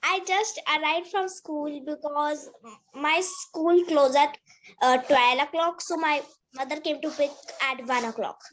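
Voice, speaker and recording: High-pitched hesitant girl learner. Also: unhurried, slight background noise, low-quality recording. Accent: Indian English. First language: Hindi. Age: young. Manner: hesitant, searching for words. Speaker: student. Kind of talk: conversation practice. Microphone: video call.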